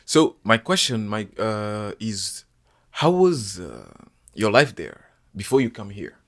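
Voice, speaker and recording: A man hosting a casual podcast interview, talking in halting bursts with many restarts and some teasing. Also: on the low side, big pitch swings, a bit bright. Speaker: podcast host.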